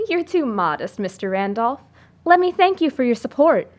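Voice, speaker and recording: A woman's voice: high-pitched, big pitch swings, a little slow. A woman playing a genteel, wry suffragist society lady in a radio play, poised and persuasive. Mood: amused, wry. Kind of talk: stage-style radio play acting. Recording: faint background noise.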